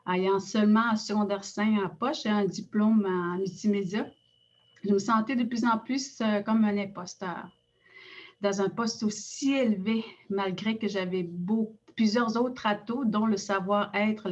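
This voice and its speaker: Innu woman speaking Quebec French, measured. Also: medium pitch, steady tone, lo-fi sound. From Innu community in Quebec. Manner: measured, with frequent hesitations. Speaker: director of an Innu cultural institute. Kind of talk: reading a prepared personal account.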